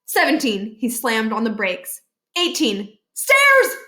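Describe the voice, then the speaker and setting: A woman reading a children's novel aloud as an expressive storybook narrator. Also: fairly high pitch, moderate pace. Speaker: storybook reader.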